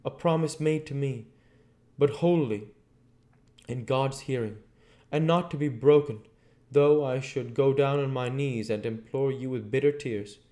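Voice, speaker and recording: A man acts out a tender young wife in a gothic storybook. The pitch is lifted far up. She sounds solemn and earnest, pleading with insistence. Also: unhurried, warm tone, close to an everyday voice. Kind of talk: dramatic audiobook character reading.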